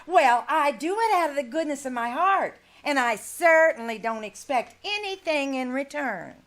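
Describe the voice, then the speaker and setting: A woman's voice: high-pitched, animated, moderate pace, fairly bright tone. A woman playing a fussy, guilt-tripping older landlady in a live radio comedy, sweetly martyred and wheedling. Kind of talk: live old-time radio comedy acting.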